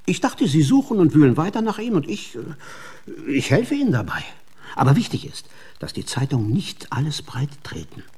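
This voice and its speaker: An actor playing an evasive, persuasive touring showman of the Wild West in a German radio play, husky and slick. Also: fairly high pitch, huge pitch swings, moderate pace, darkish tone, exaggerated voice. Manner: exasperated, wheedling, slick dealmaker.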